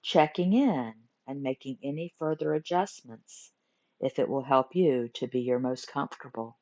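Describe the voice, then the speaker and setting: A woman teaching guided meditation, giving gentle instructions in short phrases with pauses between them. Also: very deep voice. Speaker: yoga nidra meditation teacher. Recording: muffled lo-fi recording.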